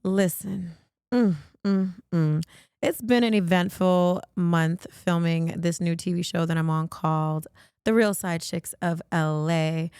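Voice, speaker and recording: A woman's voice: lowish voice, fairly even intonation, unhurried, darkish tone, smooth, no echo, studio quality. Brash, sassy woman podcast host. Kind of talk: casual gossipy talk.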